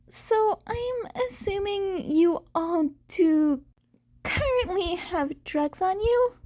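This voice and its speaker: A woman voicing a hesitant, weary teenage boy vigilante in a story reading, speaking awkwardly and haltingly. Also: very high voice, lively intonation, mellow, voice pushed up, heavily acted. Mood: cautiously polite.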